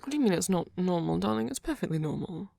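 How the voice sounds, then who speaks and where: A man playing a tender, fretful vampire boyfriend in an ASMR roleplay, speaking softly and hesitantly, often close to a whisper. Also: high voice, animated, fast talker, dry recording, full character voice. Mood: defensive, earnest. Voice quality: breathy, often near whisper. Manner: soft-spoken, hesitant, stammering, tender. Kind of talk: ASMR roleplay audio drama.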